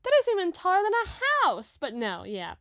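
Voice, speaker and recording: Woman voicing an emotive, high-pitched young giantess. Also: wildly swooping pitch, a bit bright, no room sound, clean studio sound, heavily acted. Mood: outraged. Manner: emotive, chatty, halting when flustered. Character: tearful young giantess model, indignant, dramatic, girlish, sweet. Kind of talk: roleplay audio acting.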